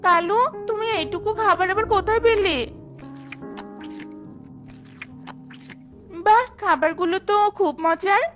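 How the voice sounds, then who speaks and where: Lady doing a tiny squeaky girl bird for a cartoon, super high, eager, music behind. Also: a little fast. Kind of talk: children's fairy tale cartoon acting.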